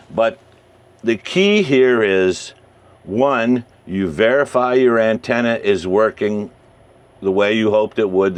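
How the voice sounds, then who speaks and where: A man's voice: fairly low pitch, clean tone. A man presenting an informal, encouraging tutorial as an enthusiastic radio hobbyist, walking through things step by step. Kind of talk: informal screen-share tutorial.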